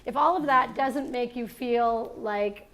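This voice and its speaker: A woman hosting a salon event, talking in a fairly high voice. Her speech is chatty and halting, full of fillers and restarts. She introduces a guest in front of a live audience. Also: gravelly, a bit bright. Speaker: salon host and moderator. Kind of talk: live onstage introduction and interview.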